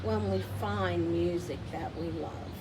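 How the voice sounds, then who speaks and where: A woman's voice: fairly low pitch, slow pace, voice pushed down. A woman voicing a tall, clumsy, sensitive male giraffe in an emotive storybook delivery. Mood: content. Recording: distant mic, loud background noise.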